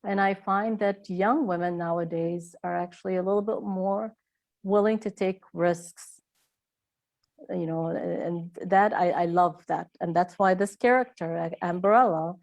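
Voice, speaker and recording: Reflective middle-aged woman, lowish voice. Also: fairly even intonation, measured pace, darkish tone. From Iraq. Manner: reflective, frequent hesitations. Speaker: author being interviewed. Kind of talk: casual interview answers.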